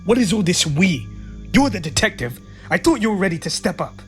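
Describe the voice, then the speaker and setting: A man's voice: high-pitched, lively intonation, a little fast, faint music behind. A man playing a stern, domineering police chief and disapproving father in an audio drama, scolding and giving orders. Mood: angry, domineering. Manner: scolding, commanding.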